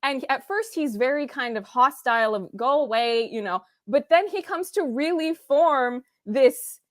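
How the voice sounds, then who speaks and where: Woman, high voice, dry recording, analytical casual discussion, academic vocabulary, acts out thoughts. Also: animated, a little slow, dry recording. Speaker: host of a series review discussion.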